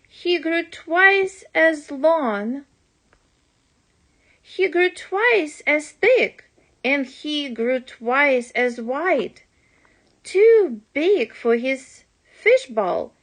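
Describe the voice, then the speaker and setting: A woman reading a rhyming children's storybook aloud as a parent would, in an expressive, deliberate storytelling manner. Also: high voice.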